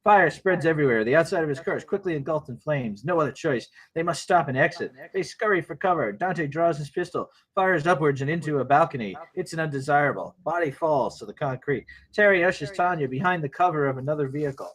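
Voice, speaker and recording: A man's voice: fairly high pitch, expressive, fast, few pauses, husky, rough recording. A man reading out stage directions as narrator at a table read, in a terse scripted delivery.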